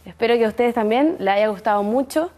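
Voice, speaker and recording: Una mujer joven de Chile con acento chileno, que presenta un reportaje de viaje al aire libre y le habla con entusiasmo a la cámara. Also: female voice, fairly high pitch, fast, dark tone. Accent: Chilean Spanish. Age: young adult. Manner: chatty, enthusiastic, addresses viewers directly. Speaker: exchange student presenting a travel segment. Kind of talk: casual travel presenting to camera. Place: outdoors in a seaside resort town and on a beach.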